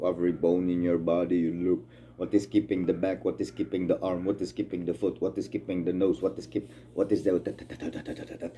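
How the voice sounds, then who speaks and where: A man with a Dutch accent, a native Dutch speaker, giving a blunt, emphatic, rambling spiritual talk in English. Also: low-pitched. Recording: loud guitar music over the voice. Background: loud guitar music.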